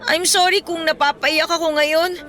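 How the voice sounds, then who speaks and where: A young student girlfriend, imploring and emotional, in radio drama style. Her voice is high-pitched and sharply bright, full of desperation, with faint music underneath. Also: fairly even intonation, moderate pace. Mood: tearful, hurt. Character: pleading, desperate teenage student girlfriend, Melissa. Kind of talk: radio drama acting in Filipino.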